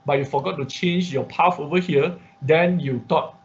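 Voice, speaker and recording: A man teaches an informal live online tutorial, explaining haltingly with repetition and frequent check-in questions. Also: fairly high pitch. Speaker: instructor of an online robotics class. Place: online class call. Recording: dull, muffled online-class audio.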